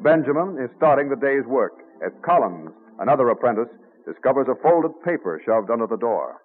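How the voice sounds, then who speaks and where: A man's voice: medium pitch, big pitch swings, fairly quick, slightly rough. A man narrating a historical radio play in an earnest, scripted storytelling style. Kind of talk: old-time radio drama scripted narration. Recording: muffled old radio broadcast, music behind.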